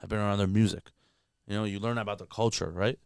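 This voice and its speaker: Man from canada, guest comedian, rapid speech, lowish voice, dry studio sound. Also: fairly even intonation, creaky, darkish tone, clean tone, dry recording. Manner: rapid, candid, profane, heavy filler words. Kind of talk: casual podcast chat.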